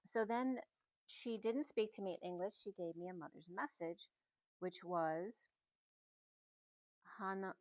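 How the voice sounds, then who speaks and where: Soft, dry-voiced woman musing. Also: lowish voice, no echo. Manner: meandering, soft-spoken, musing. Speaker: spiritual reader. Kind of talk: casual channeled message reading.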